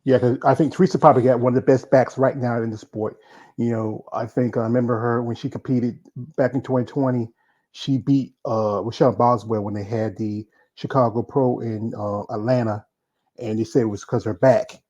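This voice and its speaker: Male interview host, relaxed dark tone, informal and rambling, a little muffled in recording. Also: mid-range voice, normal speed. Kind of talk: casual chat, reading out viewer comments.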